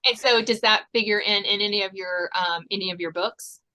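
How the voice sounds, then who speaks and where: A woman's voice: medium pitch, fairly even intonation, a little fast, pushed and bright. A woman hosting a podcast in a casual interview, chatty and given to laughing. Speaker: podcast host.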